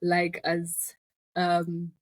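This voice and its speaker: A woman's voice: lowish voice, completely flat delivery, extremely slow. A woman with a Zambian accent telling her personal story as an interview guest, in a reflective, conversational way. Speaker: interview guest, finance business founder.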